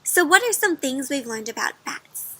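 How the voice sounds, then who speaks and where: A woman reading a picture book aloud to children, expressively, pausing to ask the listeners questions. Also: high-pitched, big pitch swings, fairly bright tone. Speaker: read-aloud storyteller for children.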